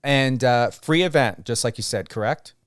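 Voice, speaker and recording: Clear-voiced male radio host. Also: medium pitch, expressive, normal speed, dry recording, clean studio sound. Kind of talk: unscripted radio interview and sign-off.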